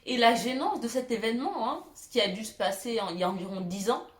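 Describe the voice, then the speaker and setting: A young woman telling funny childhood stories to her viewers, self-mocking, giggling and pausing on fillers. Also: on the high side, echoey room. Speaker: YouTuber. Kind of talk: storytelling vlog.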